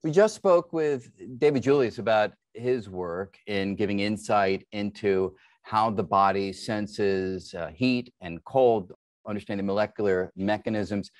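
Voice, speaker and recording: A man's voice: on the low side, measured pace, no echo. A middle-aged man hosting an interview over a video call, asking long questions and giving brief responses. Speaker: interview host. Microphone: video call webcam.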